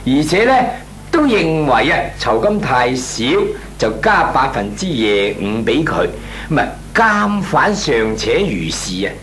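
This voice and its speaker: A man's voice: mid-range voice, big pitch swings, measured pace, fairly bright tone, a little hiss or hum. A male actor playing a nervous but cheeky employee in a comic radio drama, stammering and deferential yet pompous in argument, with a Hong Kong accent. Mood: cheeky insistence.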